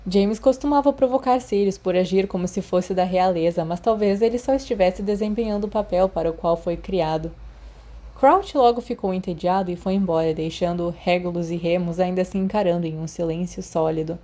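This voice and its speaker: A woman's voice: fairly low pitch, fairly quick, mellow. A woman with a Brazilian accent reading a story aloud as scripted narration. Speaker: audiobook narrator.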